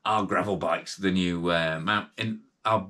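A man's voice: low voice, fairly even intonation, a little slow, pushed and bright. A middle-aged British man hosting a casual cycling gear review late at night, chatty and rambling with lots of fillers and restarts, sounding tired. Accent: British English. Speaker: cycling channel host. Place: indoor workshop with brick wall and bikes.

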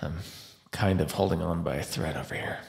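A man's voice: low voice, fairly even intonation, darkish tone. A man voicing a starving captive vampire in an immersive roleplay monologue, sardonic and flirtatious with a desperate edge. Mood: craving, pleading. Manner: sardonic, teasing, breathy pauses and sighs. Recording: roomy, echoing sound.

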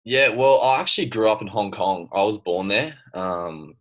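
A young man with an Australian accent talks from his bedroom over a laptop webcam. His voice sits very low, and he rambles easily, leaning on fillers and breaking into quick laughs. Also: fairly bright tone. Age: young adult. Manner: laid-back, laughs often, trails off with fillers. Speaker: guest swimmer. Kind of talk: casual chat. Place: bedroom at home. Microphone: laptop webcam on video call.

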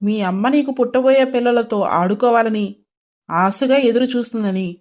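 A woman's voice: a little high, moderate pace, darkish tone, voice pushed down, close to an everyday voice. A woman voicing a loving, worried and insistent mother of a grown son in a dramatised story, pleading earnestly.

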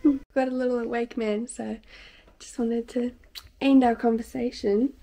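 A woman's voice: fairly high pitch, steady tone, normal speed, warm tone, no room sound. A woman, chatting casually as she vlogs. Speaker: vlogger. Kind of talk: casual chatty vlog talk. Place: living room, car park and outdoor cafe. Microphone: camera at arm's length. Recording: handheld camera with background noise.

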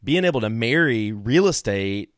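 A man's voice: mid-range voice, lively intonation, moderate pace, dry recording. A man, enthusiastically hosting a podcast interview over a video call with a studio mic. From Alabama. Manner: upbeat, enthusiastic. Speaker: podcast host. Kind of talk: casual interview. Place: office with banner backdrop, indoors. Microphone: studio mic in front, earbuds, video call.

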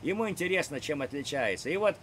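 A man's voice: a little high, animated, fast talker, over a noisy crowd. An older man from Ukraine hosting chats with strangers on a video call from his home room, talking in a lecturing, provocative way with many hesitations. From Ukraine (Kharkiv). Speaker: chat roulette host. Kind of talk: casual video chat with strangers. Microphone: webcam on video call.

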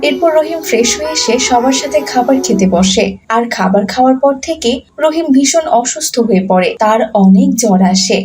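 A woman giving scripted narration for an animated story. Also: high-pitched, a little fast, few pauses, music in the background. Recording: slightly distant, faint background noise.

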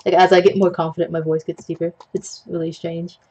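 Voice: mid-range voice, level tone, brisk, darkish tone, slightly rough, lo-fi sound. A young adult host rambling jokily with puns while painting at a desk, coughing now and then, on a webcam mic at home. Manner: chatty, self-deprecating, jokey with puns. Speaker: digital art tutorial host.

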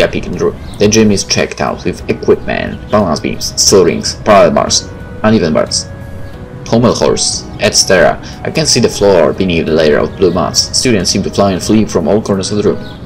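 Guy doing a lets play, lowish voice, reads story text out loud, chats casually, soft game music. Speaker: gaming video creator. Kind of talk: let's play, reading game text aloud with casual comments.

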